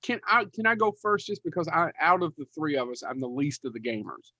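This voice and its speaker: A man's voice: a little high, big pitch swings, brisk, bright, forceful tone, dry recording, dull audio. A middle-aged man co-hosting a podcast in casual, rambling chat with a self-deprecating manner. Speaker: podcast co-host.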